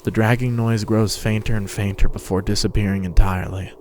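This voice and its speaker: A man's voice: fairly low pitch, steady tone, brisk, a little mellow, slight background noise. A man giving a scripted, dramatic narration of a story. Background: music and sound effects.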